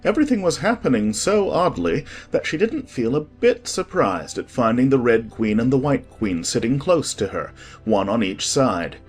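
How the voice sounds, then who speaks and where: A man's voice: medium pitch, very animated, normal speed, hardly any pauses, husky, faint music behind. A man reading scripted storybook narration expressively.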